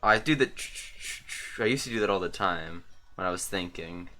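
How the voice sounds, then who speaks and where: A man's voice: sits low, animated, fairly quick, pushed and bright. A young man casually rambling as he rewatches and comments on an old stream of his. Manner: rambling, self-deprecating, chuckling often.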